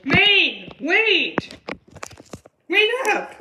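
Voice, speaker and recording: Excitable teen schoolgirl played by a woman, bratty and bubbly, very high voice, roomy amateur roleplay sound. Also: drawn-out words, noisy background, exaggerated voice. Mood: breathless, cheerful. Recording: roomy, echoing home recording.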